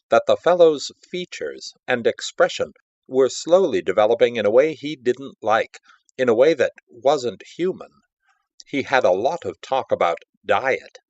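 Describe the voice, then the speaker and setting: A man performs a nervy male art devotee confiding a horror tale in an audiobook. The voice is on the low side and emphatic, theatrical yet conversational. The recording is dry and slightly dull. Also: dry recording, close to an everyday voice. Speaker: audiobook narrator. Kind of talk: dramatic first-person story reading.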